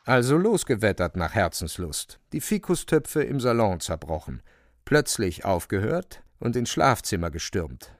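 A man's voice: on the low side, very animated, a little slow, no echo, studio quality. A man narrating a literary radio drama in a dramatic, scripted storytelling style. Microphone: close, dry studio microphone.

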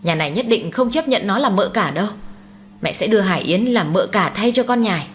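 A woman's voice: on the high side, a little fast, slight background noise, pitched above the performer's own voice, lightly acted. A woman voicing a domineering, snobbish older mother who scolds indignantly in a dramatised audiobook. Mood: furious.

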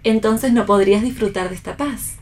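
A woman's voice: medium pitch, moderate pace, a little mellow, lightly acted. A woman acting a romantic, devoted wife in a radio play, with a formal, poetic way of speaking. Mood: earnest idealism. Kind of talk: staged radio play reading.

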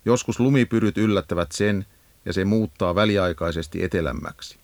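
A man reading scripted educational narration for a nature guide in a measured, instructive manner. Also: sits low, no echo. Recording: 1980s audio cassette narration.

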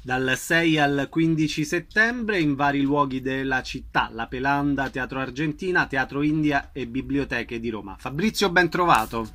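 A man's voice: medium pitch, normal speed, hardly any pauses, sharp tone. A man working as an interviewer, delivering prepared introductions and questions. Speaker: interviewer, presenter.

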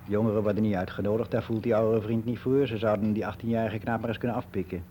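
A man's voice: lowish voice, steady tone, fast talker, warm tone, a little hiss or hum, natural voice. An actor playing a candid, reflective older man, confiding matter-of-factly in a conversational radio drama monologue. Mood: frank, wry. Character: candid, reflective ageing man, worldly and unbelieving.

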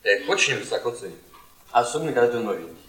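An actor playing a courteous young newcomer professor in a radio play, agreeable and careful to please. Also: male voice, mid-range voice, sing-song, a bit bright. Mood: earnest. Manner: courteous, eagerly agreeing, measured politeness. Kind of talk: Russian radio theatre acting. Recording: echoey stage, distant, audience noise.

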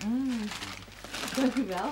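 A polite, welcoming housewife in a television drama. Her voice is fairly high and her phrases short and courteous, with clear noise on the old soundtrack. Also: takes it slowly. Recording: noisy 1970s television soundtrack.

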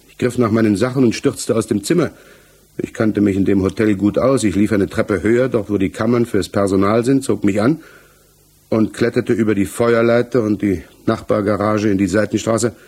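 An actor in a 1960s German radio play, playing an accused married village mechanic who answers earnestly, evasively and haltingly. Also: male voice, lowish voice, a little mellow, slightly rough. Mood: tense recounting. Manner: hesitant, halting, defensive.

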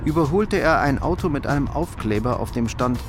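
A man narrating a radio crime drama in a measured, matter-of-fact way. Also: mid-range voice, expressive, music in the background, lightly acted. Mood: dry. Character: male narrator of a crime story.

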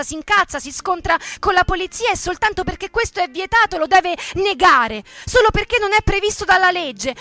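A woman playing an earnest ageing male metalworker who recalls his militant youth in a halting, conversational, emphatic way, as a radio theatre monologue. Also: very high voice, sharp tone, voice pushed up, full character voice.

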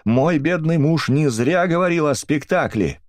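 A man's voice: on the low side, sing-song, moderate pace, a little mellow, dry recording, clean studio sound, pitched above the performer's own voice. A man voicing a grief-stricken English widow who bitterly accuses another woman, in a storybook reading. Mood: shouting.

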